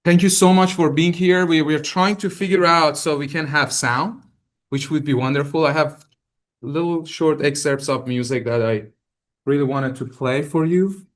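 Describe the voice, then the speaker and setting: A man's voice: a little high, moderate pace. A man from Iran with a Persian accent, lecturing live to students and faculty, friendly and hesitant with many fillers and restarts. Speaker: guest lecturer. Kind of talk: live academic lecture to an audience. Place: lecture hall.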